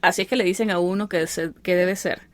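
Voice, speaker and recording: A woman's voice: on the low side, steady tone, quick pace, dry recording. A woman host, interviewing a guest in a supportive, conversational way. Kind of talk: casual interview chat.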